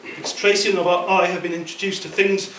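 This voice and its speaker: A middle-aged man delivering a prepared, humorous best man speech at a wedding reception, telling anecdotes with measured pauses. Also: sits high, flat delivery. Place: indoor function room at a wedding reception. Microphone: handheld mic through a PA speaker, filmed from across the room. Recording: distant, echoey, very noisy room recording. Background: loud room noise and guests.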